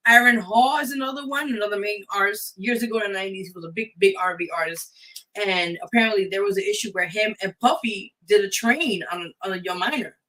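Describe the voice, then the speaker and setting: A woman's voice: mid-range voice, sharp tone, poor audio. A woman hosting a casual live talk show, speaking in an emphatic, blunt way. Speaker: radio show host.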